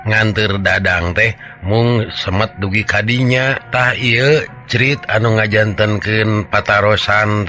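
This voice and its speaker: A man's voice: lowish voice, pushed and bright. A man telling a dramatized folk story as dialogue, in an earnest, conversational manner. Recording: music behind the voice.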